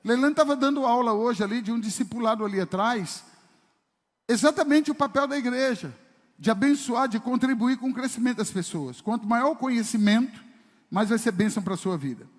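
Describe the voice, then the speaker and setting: High-voiced pastor preaching. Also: male voice, fairly quick. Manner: emphatic preaching with rhetorical questions, addresses congregation directly. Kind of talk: sermon. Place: church service hall. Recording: long reverb of a church hall.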